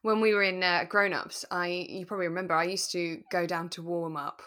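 A British woman co-hosting a relaxed chat about radio drama, expressive and enthusiastic in her delivery. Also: fairly low pitch, a little fast. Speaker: podcast co-host and actor.

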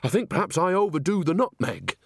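A man's voice: high-pitched, very animated, brisk, husky, no echo, studio quality, voice pushed up, full character voice. A man voicing a cheeky, jolly old witch woman with a breezy, homely, chatty manner in an audiobook. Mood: homely, proud. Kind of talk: audiobook character voice.

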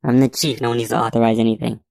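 Woman doing a deep, brash male police chief. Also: level tone, rapid-fire, gravelly, darkish tone, no room sound. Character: blustering, defiant male local police chief.

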